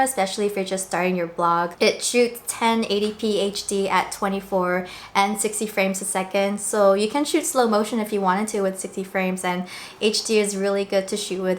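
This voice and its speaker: A woman in her late twenties talking casually to camera indoors. She explains things in a chatty, upbeat way, and her voice is slightly husky from a swollen throat. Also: medium pitch. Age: late 20s. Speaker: vlogger and blogger. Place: indoors at home.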